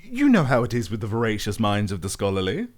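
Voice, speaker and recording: A man voicing an obsequious noble father who flatters and stammers. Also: fairly low pitch, quick pace.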